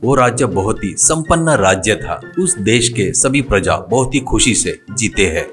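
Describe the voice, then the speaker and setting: A man's voice: lowish voice, expressive, a little slow, fairly bright tone, faint music behind. A man narrating a scripted comic folk tale as a storyteller.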